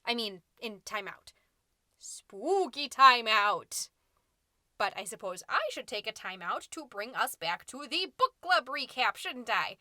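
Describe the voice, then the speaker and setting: Woman playing a bright, chirpy suburban mom podcaster. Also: fairly high pitch, lively intonation, unhurried, no room sound, studio quality. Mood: confiding, fussy. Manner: perky, folksy, theatrical, fussy. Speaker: podcast host. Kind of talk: scripted comedic monologue as a podcast.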